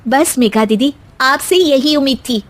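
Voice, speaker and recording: A woman's voice: sits high, unhurried, pitched above the performer's own voice. A woman voicing a young newlywed daughter-in-law in a family tale, polite but pointed and firm. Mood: firm, indignant.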